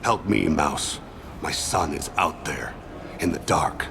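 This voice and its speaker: An actor playing a solemn, patient alien assassin in a video game, with a gravelly voice and a measured, grave manner. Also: male voice, rumbling low, animated, background noise, heavily acted. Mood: quiet menace.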